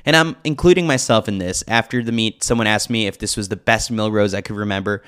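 A man's voice: on the low side, quick pace, a bit bright, smooth. A man reading a scripted sports newsletter with a lightly wry, joking manner. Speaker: newsletter reader, podcast host.

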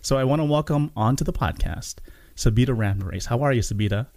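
A man's voice: medium pitch, expressive, fast, creaky, a little mellow, no room sound. A man speaking as a podcast host, introducing the show and chatting with his guest. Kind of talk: podcast intro and interview chat.

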